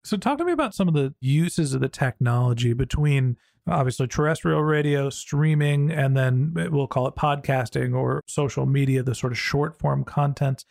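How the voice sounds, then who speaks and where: A man's voice: medium pitch, moderate pace, gravelly, few pauses, a little mellow, dry recording, studio quality. A man speaking as a podcast host, in interview talk and sponsor reads.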